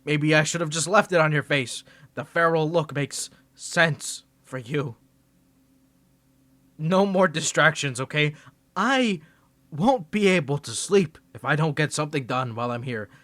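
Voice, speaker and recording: A man's voice: fairly high pitch, lively intonation, measured pace, fairly bright tone, no echo. A man voicing a flustered young tsundere male student rival in an ASMR roleplay, teasing and defensive, stammering when caught off guard. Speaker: ASMR creator.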